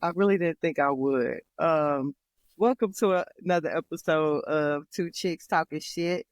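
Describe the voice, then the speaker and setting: A woman's voice: low-pitched, normal speed, no echo. An American woman co-hosting a podcast, chatting casually in a blunt, slangy way. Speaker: podcast co-host. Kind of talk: casual girl-talk chat.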